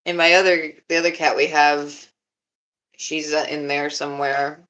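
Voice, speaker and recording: A young woman chatting on a live stream, laid-back and giggly, with a deeper voice who swears freely. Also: flat delivery, normal speed, fairly bright tone, low-quality recording. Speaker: livestreamer. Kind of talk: casual live-stream chat.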